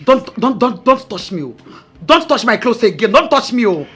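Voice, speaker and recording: A woman's voice: a little high, big pitch swings, moderate pace, a bit bright. A woman playing a furious wife who scolds and threatens others. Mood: angry. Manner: scornful, threatening. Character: furious young wife named Blessing.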